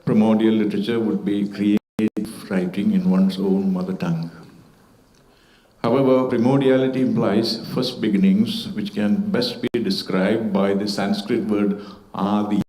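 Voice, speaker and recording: A man's voice: lowish voice, steady tone, dark tone. Guy on a festival panel, careful formal speech, bit of um and uh, echoey hall sound. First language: Malayalam. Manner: measured and formal, with occasional hesitations. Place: auditorium at a literature festival.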